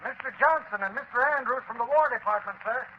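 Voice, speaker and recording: Eager young male guard, high voice, rapid deferential delivery, vintage radio drama. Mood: dutiful. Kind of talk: 1930s radio drama acting. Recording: muffled, noisy 1939 radio broadcast.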